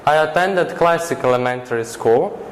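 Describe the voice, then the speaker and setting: A teenage boy with a Croatian accent, a native Croatian speaker, telling his story in careful, rehearsed English. His voice sits a little high, and there is a faint hiss of background noise behind him. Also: moderate pace, poor audio. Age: teens. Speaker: interviewee. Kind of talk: interview answers.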